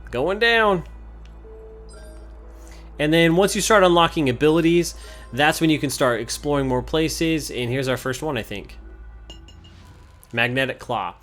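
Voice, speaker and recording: A man's voice: fairly high pitch, measured pace, long pauses, a bit bright. A man giving casual, conversational gameplay commentary as the host of a video game series. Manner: informal, conversational. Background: game music.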